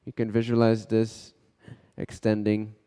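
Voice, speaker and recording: A man leading a guided meditation in a hushed, unhurried way, pausing between short phrases. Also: on the low side, monotone, clear, resonant tone. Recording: long reverb on the voice.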